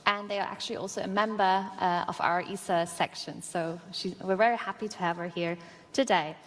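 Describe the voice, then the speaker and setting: A woman's voice: mid-range voice, fairly even intonation, fairly quick, fairly bright tone. A woman moderating a conference panel, thanking speakers and introducing the next one politely. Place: large conference hall. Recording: reverberant hall with faint ambience.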